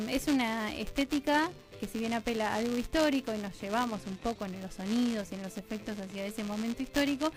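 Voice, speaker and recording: Argentine woman chatting on the radio, kinda high voice, thoughtful and wordy, music under it. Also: rough recording. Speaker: radio drama producer and guest. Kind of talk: conversational radio interview.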